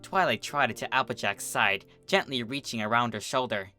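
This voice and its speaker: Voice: medium pitch, normal speed, bright, forceful tone. A narrator reading a scripted fan fiction story in a dramatic storytelling style, with music behind.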